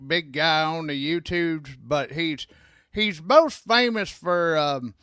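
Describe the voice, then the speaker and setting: A man playing a grumpy old country man with a gruff, folksy drawl. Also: a little high, slow, a bit bright, dry recording, studio quality.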